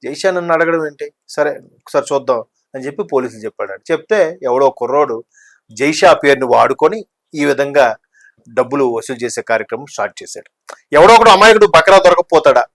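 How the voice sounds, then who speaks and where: A man's voice: a little high, animated, quick pace, a bit bright. A man giving conversational political commentary, talkative and explanatory, mixing in English words. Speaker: news discussion commentator.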